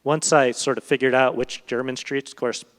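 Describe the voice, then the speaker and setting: A man's voice: mid-range voice, fairly even intonation, normal speed, fairly bright tone. A man speaking as a literary translator and guest in an on-stage conversation, thoughtful and digressive, often searching for his words. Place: large hall, public event. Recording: reverberant hall.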